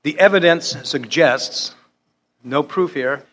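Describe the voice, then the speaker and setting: A man's voice: on the high side, takes it slowly. Man lecturing in a reverberant hall. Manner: animated, retelling news reports step by step. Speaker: speaker at a public talk. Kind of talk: lecture to an audience.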